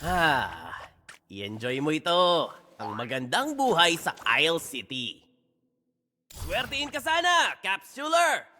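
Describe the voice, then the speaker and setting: A man's voice: fairly high pitch, very animated, slow, bright, forceful tone, heavily acted. A man voicing a showy, over-friendly video game hero with theatrical delivery, in reverberant, slightly noisy game audio. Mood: showy, welcoming. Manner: theatrical, swooping. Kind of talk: game character voice lines.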